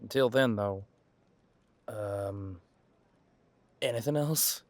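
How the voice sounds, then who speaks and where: A man playing a centuries-old, easygoing detective in an audio roleplay, talking casually and folksily as he muses aloud with pauses. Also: on the low side, no echo. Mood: explaining. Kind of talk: detective roleplay audio, one side of a conversation.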